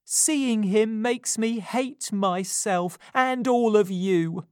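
A woman's voice: mid-range voice, very slow, darkish tone, clear, resonant tone, no echo, clean studio sound, voice pushed up. A woman voicing a weary, bitter young woman in a storybook.

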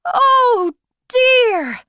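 A woman voicing an excitable, rude male hare in a slow children's story, with exaggerated emphasis. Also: extremely high pitch, no room sound, studio quality, pitched above the performer's own voice, full character voice. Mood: dismayed.

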